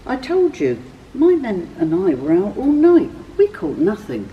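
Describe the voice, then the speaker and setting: A woman's voice: mid-range voice, wildly swooping pitch, measured pace, far from the mic, highs cut off, slight background noise, voice pushed up. A woman voicing a weary, incredulous male fisherman in a children's Bible story, in an emphatic, exclaiming manner.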